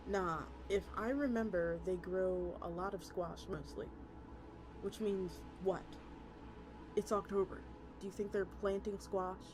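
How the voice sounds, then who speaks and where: Woman, mid-pitched voice, livestream storytelling, acted dialogue, casual chat, clear music behind. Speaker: VTuber streamer. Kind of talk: livestream reading a story aloud, chatting with viewers.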